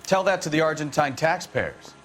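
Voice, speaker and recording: Guy acting sarcastic american police partner, streetwise, animated voice, music in back. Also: a little high, normal speed, natural voice. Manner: wry, sarcastic. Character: sardonic, streetwise male police detective partner. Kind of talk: noir crime game acting. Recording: background music under dialogue.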